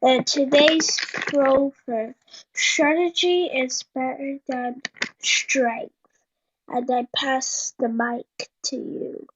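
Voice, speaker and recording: Woman, high voice, slow careful introduction, lo-fi audio. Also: fairly even intonation, husky. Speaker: guest introducer. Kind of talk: reading an introduction. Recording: lo-fi streamed audio.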